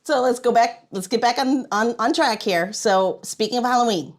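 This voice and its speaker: A woman hosting a podcast in a casual, chatty and playful way, with an expressive delivery. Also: on the high side, normal speed. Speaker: podcast host.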